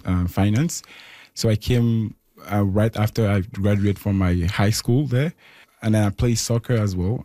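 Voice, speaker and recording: A man's voice: fairly low pitch, dark tone, dry recording. A young man from Ivory Coast, a studio guest chatting cheerfully. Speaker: studio guest, soccer player.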